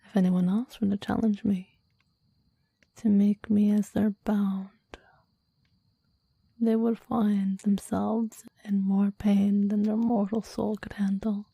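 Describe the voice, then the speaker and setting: Girl doing a clingy demon for asmr, very dark mellow whisper, slow and breathy, formal and devoted, menacing. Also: mid-range voice, little pitch movement, no room sound, heavily acted. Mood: reverent, menacing. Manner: formal, archaic, reverent phrasing. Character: clingy, possessive, deadly demon devoted to a master. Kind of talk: ASMR roleplay, mostly whispered.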